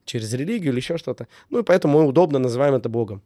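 Fast-talking man, emphatic and mellow. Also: mid-range voice, expressive, dry recording, studio quality. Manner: emphatic, repeats phrases in lists. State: getting over a cold. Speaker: interview guest. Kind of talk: casual reflective talk on relationships.

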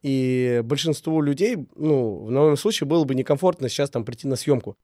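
A man's voice: medium pitch, fairly quick, dark tone, dry recording, clean studio sound. A man getting over a cold, a business owner talking earnestly in a casual conversation and repeating words for emphasis. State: recovering from a cold.

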